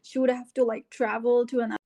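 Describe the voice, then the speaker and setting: A woman's voice: on the high side, little pitch movement, fast, dark tone. A middle-aged woman from India with an Indian English accent, telling her life story in an interview, with many fillers and restarts. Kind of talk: casual conversational interview.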